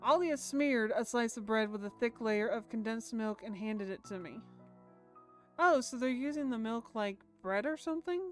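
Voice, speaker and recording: A woman's voice: on the high side, normal speed. A woman streaming a visual novel, chatting informally with viewers and reading text aloud, mildly sweary. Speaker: game streamer. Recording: music playing behind the voice. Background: background music.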